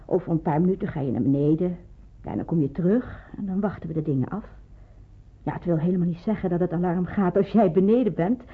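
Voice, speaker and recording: An actress playing a businesslike woman in hiding who explains things briskly, in an old radio play. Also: fairly low pitch, slightly rough. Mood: brisk, instructive. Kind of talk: Dutch radio play acting. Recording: muffled, narrow-band old radio recording.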